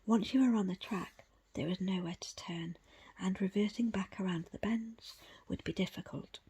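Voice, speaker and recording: A woman reading a book aloud in an ASMR style, in a breathy, unvoiced whisper with hushed, measured phrasing. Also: medium pitch. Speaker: book reader presenting an audiobook extract.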